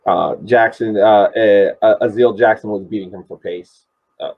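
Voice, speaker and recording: On slightly muffled audio, a man with a fairly low, husky voice talks through a match. His casual analysis comes in halting phrases with repeated words. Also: steady tone. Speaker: sports podcast panelist.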